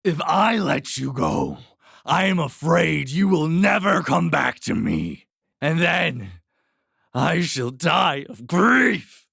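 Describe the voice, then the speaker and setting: A man voicing a grieving, lovesick beast in a storybook, pleading dramatically in a gravelly, husky voice. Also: a little high, big pitch swings, a bit bright, no echo, studio quality, voice pushed up, exaggerated voice. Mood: anguished pleading. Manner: slow, swelling, dramatic pleading. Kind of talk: audio storybook character acting.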